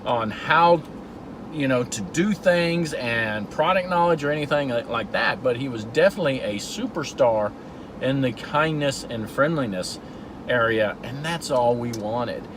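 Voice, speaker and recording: A man's voice: mid-range voice, animated, measured pace. Middle-aged male vlogger, earnest storyteller, in-car recording with road noise. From Georgia, USA. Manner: earnest, storytelling, with repeated restarts. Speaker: vlog host. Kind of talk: casual talk while driving.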